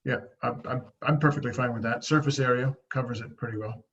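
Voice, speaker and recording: A man's voice: medium pitch, steady tone, a little fast, fairly bright tone. Polite agreeable guy on a conference call, casual meeting chat, slightly dull sound. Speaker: committee member. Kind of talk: informal meeting discussion. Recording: muffled conference call audio.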